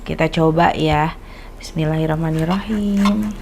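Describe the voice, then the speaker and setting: A woman's voice: deep voice, unhurried, heavy background noise. A young woman hosting a casual food review, chatty and savoring each bite as she comments. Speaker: food vlogger host. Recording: clattering dishes and pans behind.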